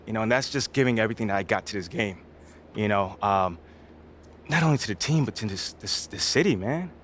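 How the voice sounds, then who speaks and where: Confident young basketball rookie, noisy background. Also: male voice, lowish voice, expressive, a little fast, fairly bright tone. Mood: earnest, confident. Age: young adult. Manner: informal, slangy, self-assured. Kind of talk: video game cutscene acting, casual interview talk. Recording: clear background noise.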